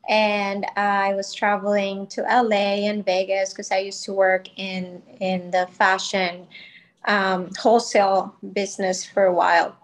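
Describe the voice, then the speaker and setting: A woman's voice: mid-range voice, level tone, measured pace, poor audio. A woman in her thirties from Colombia, a podcast guest telling her story in a chatty, grateful way with many fillers. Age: 30s. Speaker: guest, wellness brand founder. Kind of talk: podcast interview, personal story.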